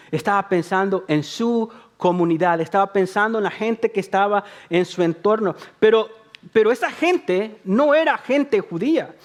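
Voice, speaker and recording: A man from El Salvador preaching a sermon, talking to the congregation in a conversational way, repeating phrases for emphasis and joking about himself. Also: high voice. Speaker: pastor.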